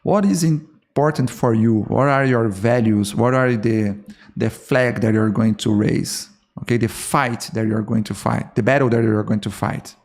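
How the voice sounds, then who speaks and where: A man with a Brazilian Portuguese accent talks straight to fellow artists on his own podcast. His voice sits at a middle pitch with a gravelly, fry-touched texture. English is his second language, so he restarts words and keeps checking in with "okay?". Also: measured pace, darkish tone. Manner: earnest, repeats and restarts words, frequent "okay?". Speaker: podcast host. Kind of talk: solo podcast talk to artists.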